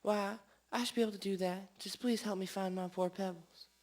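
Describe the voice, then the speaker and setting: A woman's voice: mid-range voice, steady tone, a little fast, darkish tone, natural voice. A woman playing a fretful, melodramatic lady pet owner with a theatrical, plaintive and very polite manner in a comic radio drama. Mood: worried, polite.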